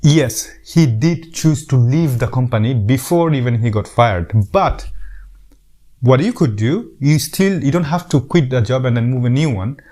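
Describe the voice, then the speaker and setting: A man's voice: medium pitch, animated, a little slow, mellow. A middle-aged man from Bangladesh with a Bangladeshi accent, giving earnest casual advice to camera, often repeating words and restarting his sentences. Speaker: YouTube host.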